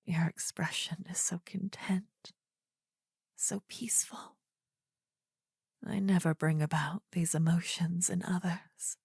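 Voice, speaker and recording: A woman voicing a possessive, adoring demon queen in a breathy, husky whisper for a fantasy ASMR roleplay, intimate and soothing with formal phrasing, close to the microphone. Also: on the low side, steady tone, slow, pauses often, darkish tone, dry recording, heavily acted. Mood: tender, lovestruck. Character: possessive, adoring demon queen, tender yet menacing female lover. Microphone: close ASMR microphone, dry.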